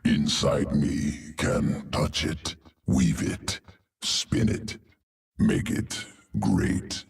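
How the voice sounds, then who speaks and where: A male alien creature from a video game that reshapes living flesh, his voice extremely low and gravelly. He talks in slow, clipped fragments with cold, clinical detachment. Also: exaggerated voice. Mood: detached. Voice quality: gravelly, very deep. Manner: terse broken grammar, detached and clinical.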